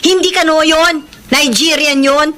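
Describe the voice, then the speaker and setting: An actress playing a nagging, scornful, money-hungry wife in a radio drama, with loud complaints. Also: female voice, high-pitched, steady tone, a little slow. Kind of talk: Tagalog radio drama acting.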